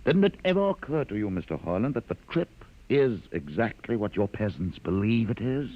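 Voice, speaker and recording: An actor playing a commanding, scornful older rebel guide in a 1950s radio drama, with a theatrical, sardonic delivery. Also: male voice, fairly low pitch, sing-song, fairly quick. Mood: insistent, exasperated. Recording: muffled, noisy 1950s radio recording.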